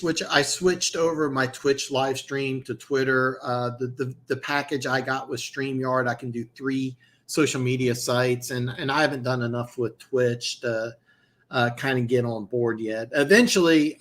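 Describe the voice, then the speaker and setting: Male streamer host, mid-pitched, casual and rambling, voice getting worn. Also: a bit bright. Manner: rambling, many restarts and fillers. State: losing his voice. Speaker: host of a football picks show. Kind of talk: casual live-stream chat.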